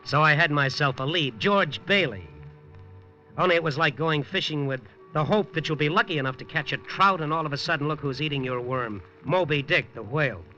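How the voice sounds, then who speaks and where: A wry hard-boiled reporter. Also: male voice, a little high, brisk, bright, forceful tone. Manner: wry, clipped noir delivery. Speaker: narrator. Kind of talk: 1950s radio noir narration. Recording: dull old radio broadcast with music.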